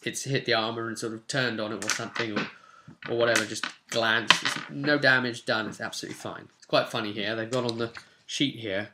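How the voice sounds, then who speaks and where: A man's voice: fairly low pitch, noisy background. A man in his twenties giving an informal, instructive tutorial, talking conversationally and thinking aloud as he works through steps in a room at home. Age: 20s. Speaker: tabletop roleplaying tutorial host. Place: small home room, indoors.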